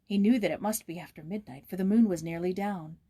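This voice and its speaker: Mellow woman reading a story aloud. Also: on the low side, fairly quick, slightly rough, no echo. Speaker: storyteller, narrator. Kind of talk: read-aloud storybook narration.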